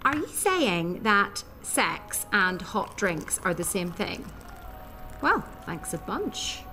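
A woman's voice: mid-range voice, sing-song, takes it slowly, pushed and bright, faint music behind, heavily acted. An actress playing an earnest, insecure late-blooming woman in a radio soap, with a wry way of talking. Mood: put out. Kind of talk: radio soap opera acting.

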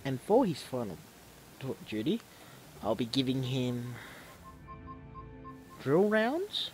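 A man with a stuffy, snuffly cold talks through his gameplay in an easygoing, rambling way. He keeps restarting words as he goes, at an average pitch, with faint music behind him. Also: sing-song, slow, rough recording. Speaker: gamer commentating his own match. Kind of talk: casual gameplay commentary.

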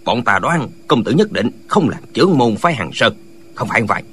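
A man's voice: mid-range voice, sing-song, brisk, fairly bright tone, a little hiss or hum. A man playing a boastful, quarrelsome, clownish martial arts eccentric in an audiobook drama, blustering and argumentative.

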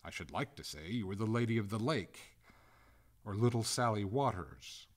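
A man playing an exasperated old-fashioned gentleman. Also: on the low side, animated, normal speed. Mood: sarcastic. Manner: stiffly polite, exasperated complaining. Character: indignant gentleman, master of a haunted hall.

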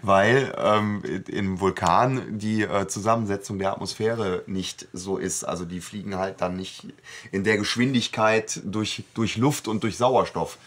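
A man's voice: on the low side, a little slow. A man co-hosting a podcast, chatting casually and explaining things, often correcting himself as he goes. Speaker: podcast co-host. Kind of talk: casual discussion of an audio drama episode.

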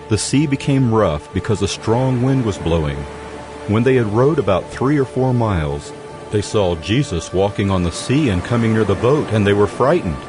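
A man reading scripture as the narrator of a dramatized production. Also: on the low side. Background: music.

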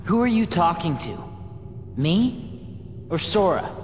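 A man's voice: sits high, sing-song, slow, big-room sound, a little hiss or hum, full character voice. An actor playing a moody, confused teenage boy hero in a video game, terse, emotional and challenging. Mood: defiant. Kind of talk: dramatic video game voice acting.

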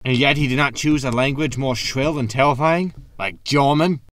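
A man's voice: mid-range voice, normal speed, a bit bright, no echo, close to an everyday voice. Man playing a wry spaceship captain, dry sound. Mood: sceptical, wry. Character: sarcastic, greedy male spaceship captain. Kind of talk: sci-fi sitcom audio drama.

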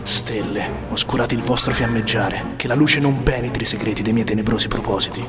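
An actor playing a troubled, ambitious warrior lord in a brooding Shakespearean radio soliloquy. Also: male voice, on the low side, fairly quick, music in the background, lightly acted. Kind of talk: theatrical Shakespearean radio drama.

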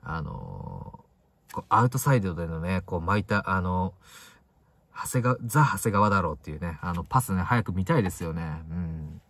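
A young man with a low voice chats casually about football as a solo vlogger. His intonation swings widely, pushing the start of each phrase and letting the end drop away. The sound is close and dry, recorded inside a parked car. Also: big pitch swings, normal speed, a bit bright, no room sound.

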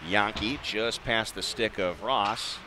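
A man's voice: on the low side, takes it slowly. An American man calling live ice hockey play-by-play in a conversational way, with short clipped phrases. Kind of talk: live sports commentary. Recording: live arena broadcast with clear background noise.

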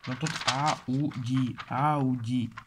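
A man talks at an ordinary middle pitch, rambling through a casual review at his home desk. He grumbles, trails off and turns to his viewers with asides. Faint noise and small clicks sit behind his voice. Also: fairly even intonation, very slow. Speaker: magazine reviewer. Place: indoors at home, desk with magazines.

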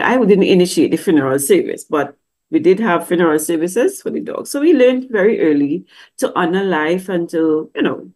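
A woman's voice: fairly low pitch, a little mellow. A middle-aged woman with a lilt speaks on a webcam call from an indoor room. She teaches in an easy, conversational way, asks a string of questions, and now and then slips into the voices of people she quotes. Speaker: grief counselor teaching at a church service. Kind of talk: teaching talk with slides. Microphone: webcam on a video call.

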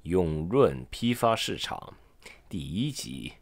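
A man acts as announcer for a radio drama. He speaks formally and grandly in a lowish voice, stretching out titles. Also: very animated, slow pace.

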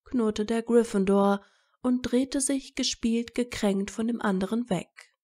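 A woman's voice: fairly high pitch, unhurried, warm tone. A woman narrating a scripted story as an audiobook reader.

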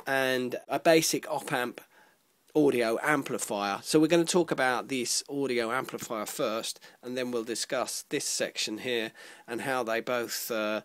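A man presenting an informal electronics tutorial, explaining step by step in a halting way, pausing mid-sentence. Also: medium pitch, a bit bright, no echo.

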